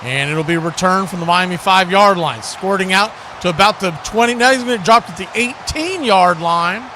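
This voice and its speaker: An American man giving live football play-by-play in short clipped phrases that build with excitement. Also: sits high, moderate pace, a little hiss or hum. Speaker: play-by-play announcer.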